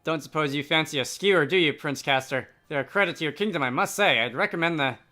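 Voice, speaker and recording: A man's voice: on the high side, fast, sharp tone. A man voicing a cheery, food-loving male scholar adventurer from a game, breezy and colloquial. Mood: cheerful.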